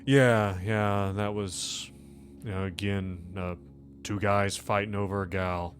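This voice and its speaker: Man, game master, slightly low pitch, casual tabletop narration, off-the-cuff, soft music in background. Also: level tone, slow pace.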